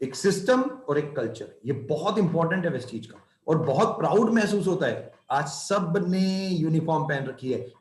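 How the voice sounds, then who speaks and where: A middle-aged man giving an earnest motivational talk to distributors, stressing points by repeating phrases. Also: fairly high pitch. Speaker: company executive speaking to distributors. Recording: echoey room.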